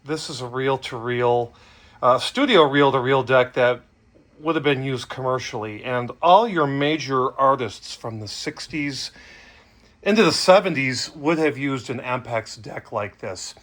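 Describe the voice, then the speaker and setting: A man's voice: medium pitch, unhurried, fairly bright tone. A middle-aged man giving a casual, conversational demonstration as a hobbyist host, with an enthusiastic manner. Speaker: hobbyist host demonstrating vintage audio gear. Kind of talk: casual product demo talk.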